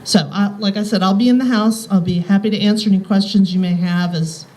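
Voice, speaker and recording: Bright-toned woman giving an informal history talk. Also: medium pitch, steady tone, fairly quick. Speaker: architectural historian, tour speaker. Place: live talk at a historic house tour. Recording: clearly noisy live event recording.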